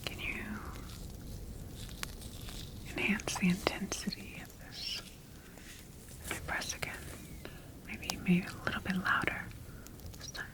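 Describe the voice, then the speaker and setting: A woman voicing a methodical scientist in an ASMR sci-fi medical roleplay, speaking in a measured, formal and gently instructing way. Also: medium pitch, flat delivery, close to an everyday voice, low-quality recording. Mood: observant, instructing. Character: clinical, methodical female scientist examining her bioengineered monster creation.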